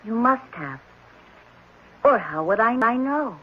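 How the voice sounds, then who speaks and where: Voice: medium pitch, lively intonation, takes it slowly. Orphan boy, ten, childlike, polite and uncannily sure of himself, in a dull-sounding vintage radio drama. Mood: knowing, insistent. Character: ten-year-old orphan boy, eerily knowing, polite. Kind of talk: 1970s radio drama acting. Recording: muffled noisy old radio broadcast.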